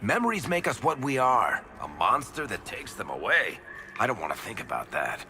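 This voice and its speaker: A man's voice: medium pitch, huge pitch swings, moderate pace, sharp tone, slight background noise, full character voice. An actor playing a grim, wry male companion in a fantasy video game.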